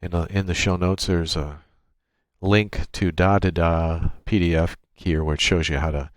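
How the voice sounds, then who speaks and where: A man narrating an unscripted software tutorial, explaining each step in a matter-of-fact way. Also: sits low, steady tone, a little slow, no room sound, clean studio sound. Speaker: tutorial presenter. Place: indoors at a computer, screen-recording voice-over.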